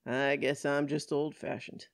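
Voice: mid-range voice, little pitch movement, unhurried, creaky, no echo, studio quality. A narrator voicing a stern, old-fashioned male police officer, condescending and firm, in an audiobook story. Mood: disapproving.

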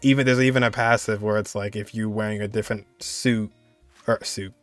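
A man's voice: on the low side, steady tone, a little fast, no echo, faint music behind. A young man giving casual, laid-back reaction commentary, thinking aloud in loose conversational phrases. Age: young adult. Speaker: reaction video host.

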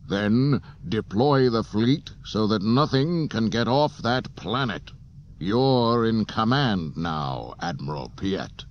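A man voicing a menacing, commanding dark lord of an empire in an audiobook, imperious and cold, on a dull, hissy cassette. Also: lowish voice, lively intonation, slow, voice pushed up. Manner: imperious, cold, clipped orders. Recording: dull cassette transfer with hiss.

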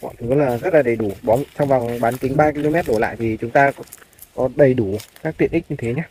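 A male property agent talks viewers through a piece of farmland in a polite sales manner, at a middling pitch. He is outdoors on a handheld phone, with faint noise behind his voice. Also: brisk, darkish tone. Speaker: real estate agent. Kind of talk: walk-through property tour narration. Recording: clear background noise, outdoor phone video.

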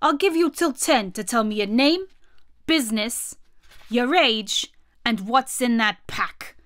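A woman voicing a huge, fierce male gorilla in a storybook, forceful, demanding and impatient. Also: a little high, takes it slowly. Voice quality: big, forceful voice. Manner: blustering, demanding. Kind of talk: storybook read-aloud character voice.

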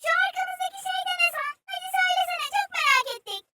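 Excitable little cartoon character, extremely high childlike squeak, exclaiming and curious; echoey sound. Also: fast talker, far from the mic. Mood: excited, impatient. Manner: exclaiming, eager questioning. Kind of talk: children's educational cartoon dubbing. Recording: roomy echo, loud music behind.

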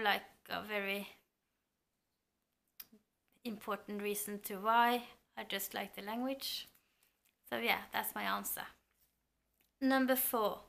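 Norwegian woman in her thirties, book vlogger, talks slowly and thoughtfully to a close camera. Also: a little high, gravelly, pushed and bright. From Norway. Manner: thoughtful, hesitant with fillers. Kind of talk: casual chat to camera. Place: home room lined with bookshelves. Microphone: camera at close range.

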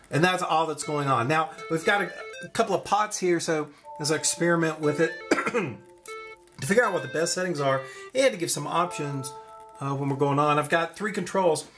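A man's voice: fairly high pitch, moderate pace, pauses often, fairly bright tone, faint music behind. A man giving an informal hands-on electronics demonstration, explaining as he goes and thinking aloud. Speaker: electronics tutorial presenter. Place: at a desk indoors.

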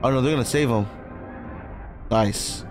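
A young man giving casual, excited reaction commentary with slangy, profane exclamations into a close studio mic. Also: mid-range voice, a little slow, soft background music. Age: young adult. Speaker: movie reaction host. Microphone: close boom-arm studio microphone, headphones.

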